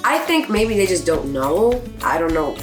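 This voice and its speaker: A woman's voice: fairly low pitch, normal speed. Chatty New York woman, roomy sound. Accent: New York American. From New York, USA. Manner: chatty, lots of "like", animated. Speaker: guest, English teacher. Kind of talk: casual interview chat. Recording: roomy echo, music underneath.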